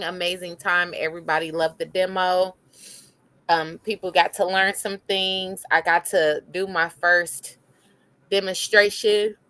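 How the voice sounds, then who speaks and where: A woman co-hosting a casual adult chat show, telling a story in a relaxed way with lots of ums. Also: mid-range voice. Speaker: co-host.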